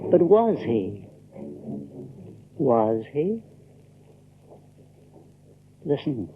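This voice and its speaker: A man's voice: mid-range voice, creaky, narrow, tinny audio. A man narrating a scripted drama in a theatrical storytelling style, full of rhetorical questions and repetitions.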